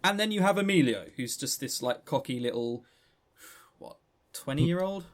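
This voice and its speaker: A man's voice: a little high, lively intonation, moderate pace. A man with an English accent co-hosting a podcast, reviewing casually in a rambling way and often stammering over repeated words. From England. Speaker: podcast co-host.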